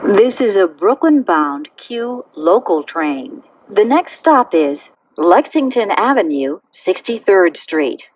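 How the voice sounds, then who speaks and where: Slow, melodic woman announcer, dull recording. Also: mid-range voice, big pitch swings. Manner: measured, clearly phrased, formulaic delivery. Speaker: automated subway train announcer. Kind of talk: pre-recorded transit announcements.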